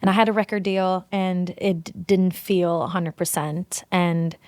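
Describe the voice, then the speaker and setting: A woman's voice: on the low side, steady tone, measured pace, darkish tone, dry recording. Woman chatting in a dry-sounding space. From Sweden. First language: Swedish. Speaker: interview guest, singer-songwriter. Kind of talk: casual conversational interview.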